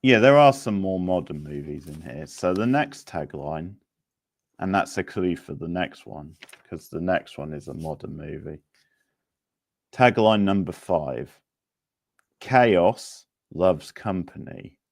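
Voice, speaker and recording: A man's voice: low voice, expressive, slow pace, vocal fry. A man casually hosting a quiz, chatting with participants and making dry, wry remarks. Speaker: quiz host.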